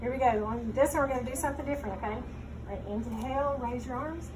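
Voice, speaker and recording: A woman's voice: a little high, fast talker. A woman yoga teacher leading a children's class, giving short step-by-step cues and checking in with questions. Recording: distant mic, clearly noisy room.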